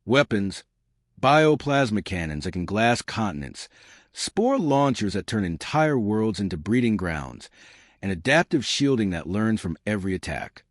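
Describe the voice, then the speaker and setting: Fierce little female space captain, played by a male narrator, sarcastic and sure of herself, lively swings in pitch. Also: measured pace, dry recording, clean studio sound. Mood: commanding. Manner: sarcastic, confident.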